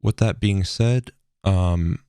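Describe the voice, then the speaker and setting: A man explaining software as a tutorial host in a measured, instructional way. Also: deep voice, clear, resonant tone, dry recording, clean studio sound.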